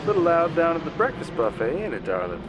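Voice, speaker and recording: Man acting a slick, higher-voiced charming conman. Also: sing-song, fast, lo-fi sound, heavy background noise. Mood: breezy, cocky. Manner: slick, suave, old-fashioned wiseguy endearments. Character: smooth, cocky male Vegas conman, charming and flirtatious. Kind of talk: ASMR roleplay acting, intimate monologue.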